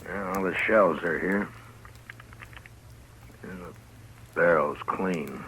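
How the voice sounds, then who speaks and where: A man's voice: low-pitched, takes it slowly. An American man playing a stern, dry-witted frontier marshal in a 1950s radio western, speaking tersely and with authority. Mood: stern, probing. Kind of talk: 1950s radio drama acting. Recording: noisy vintage 1950s radio recording.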